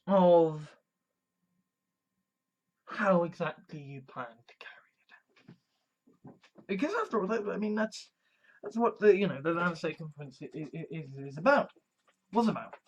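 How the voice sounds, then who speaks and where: A man from England, giving a measured, deliberate monologue full of restarts and fillers. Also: sits high, lively intonation, highs cut off. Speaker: video commentator. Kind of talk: casual monologue.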